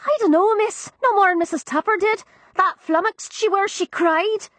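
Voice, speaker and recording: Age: young. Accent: Cockney. Figure: a breathless, frightened servant girl, pitched up high. Style: storybook reading. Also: normal speed, a bit bright, dry recording. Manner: babbling, breathless, rough working-class grammar. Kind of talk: audiobook character voice.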